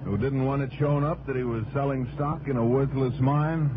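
A man's voice: medium pitch, fairly even intonation, normal speed, narrow, tinny audio, close to an everyday voice. An American actor playing a shrewd, unflappable male private investigator in a 1960s radio drama, clipped, probing and matter-of-fact, on a noisy old recording. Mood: probing, suspicious. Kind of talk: 1960s American radio drama acting and first-person narration.

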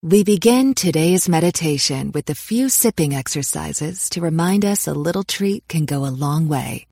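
An actress playing a calm female meditation guide in a commercial, soothing and measured. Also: deep voice, gravelly, husky, no room sound, full character voice. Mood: serene.

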